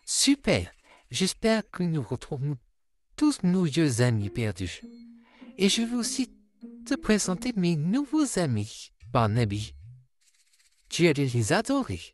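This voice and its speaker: A woman voicing a gentle, anxious boy puppet in a comic dub, expressive and sincere. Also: low voice, unhurried, pauses often, full character voice. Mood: hopeful.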